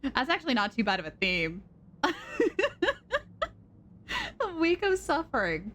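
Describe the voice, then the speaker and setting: A woman's voice: on the high side, very animated, measured pace, a little hiss or hum. Chatty woman streamer thinking aloud. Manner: chatty, rambling, thinking out loud with fillers. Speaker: game streamer. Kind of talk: casual live-stream chat.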